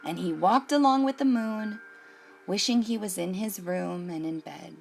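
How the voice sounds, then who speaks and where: A woman's voice: mid-range voice, a little slow, vocal fry, darkish tone, faint music behind. A woman hosting a children's storytime, reading a picture book aloud expressively and addressing young listeners.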